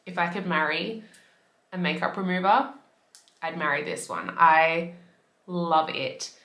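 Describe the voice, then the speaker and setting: Young woman beauty vlogger from Australia, chatty and upbeat, a touch raspy from a cold, bright voice in an echoey room. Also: lowish voice, steady tone, a little slow. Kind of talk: casual talk to camera. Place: bedroom by a window.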